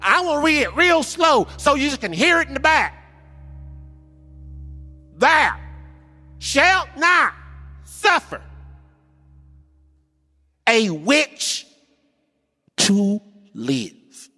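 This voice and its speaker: A fiery preacher thunders from the pulpit, his voice flung high and loud in swelling, shouted build-ups. Every phrase climbs and pounds. A long hall reverb trails it, with faint music underneath. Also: sing-song, measured pace, pauses often, exaggerated voice. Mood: thundering. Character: fiery male church preacher, thundering and stern.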